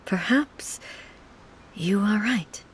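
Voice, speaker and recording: An actress playing a composed, regal warrior ruler in a video game, speaking formally and with guarded dignity. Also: female voice, mid-range voice, extremely slow, a little hiss or hum.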